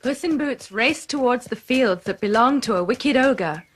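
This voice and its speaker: A woman's voice: a little high, animated, moderate pace, slight background noise. A woman narrator reading a scripted children's fairy tale in a storybook style. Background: music.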